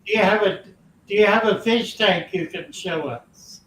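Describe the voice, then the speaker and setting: An older man hosting a casual call-in chat, giving slow, genial and encouraging replies. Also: high voice, a bit bright, a little hiss or hum. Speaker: host. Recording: roomy sound from far off, faint ambience.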